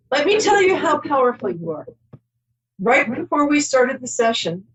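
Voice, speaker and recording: A woman's voice: a little high, poor audio. A middle-aged woman hosting a call-in psychic show, chatty and reassuring, rambling with many ums.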